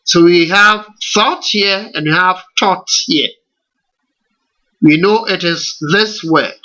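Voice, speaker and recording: Slow, bright-voiced Ghanaian man teaching. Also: high voice, highs cut off. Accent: Ghanaian English. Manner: slow, deliberate step-by-step instruction with rhetorical questions. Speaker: computer tutor. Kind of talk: screen-recorded software tutorial.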